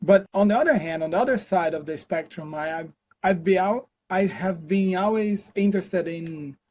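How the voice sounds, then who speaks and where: A Brazilian man with a Brazilian accent, answering interview questions in a relaxed, chatty way full of fillers and restarts, over a video call. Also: high voice, normal speed. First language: Portuguese. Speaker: interview guest, scientist. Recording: dull lo-fi call audio.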